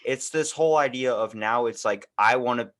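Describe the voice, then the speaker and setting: A man's voice: medium pitch, normal speed, fairly bright tone. A man hosting a podcast, talking in a casual, rambling, opinionated conversational way. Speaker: podcast host. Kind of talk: casual interview chat.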